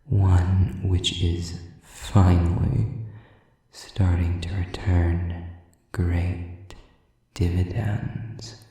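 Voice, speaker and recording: A sadistic demon for an audio roleplay, mocking and seductive in a predatory way. The delivery is extremely slow and deliberate, slipping between whispers and a very dark, gravelly murmur. The voice rings in a heavily echoing space. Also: male voice, low-pitched, little pitch movement, recorded from a distance, full character voice. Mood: sadistic relish. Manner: slow, deliberate, intimate, often dropping to a whisper. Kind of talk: audio roleplay addressed to the listener.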